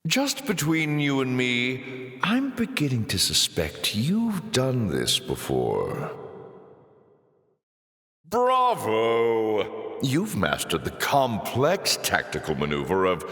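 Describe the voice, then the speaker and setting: An actor playing a suave, sardonic demon lord in a video game, theatrical and sly, with mock courtesy. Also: male voice, medium pitch, wildly swooping pitch, slow, fairly bright tone, exaggerated voice. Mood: sardonic, amused. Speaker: arena master taunting the player. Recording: echoey hall reverb.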